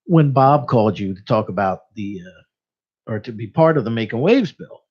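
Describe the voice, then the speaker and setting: Husky, lively-pitched male radio host. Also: moderate pace. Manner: joking, rambling, many fillers. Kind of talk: casual interview chat. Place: small radio studio in a church. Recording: slightly dull lo-fi radio sound.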